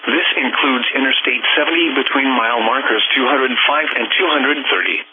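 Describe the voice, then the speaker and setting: Synthetic American male weather radio announcer. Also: mid-range voice. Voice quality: synthetic text-to-speech voice. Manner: formal, impersonal, measured announcing. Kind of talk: scripted emergency weather bulletin. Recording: noisy, narrow-band weather radio broadcast.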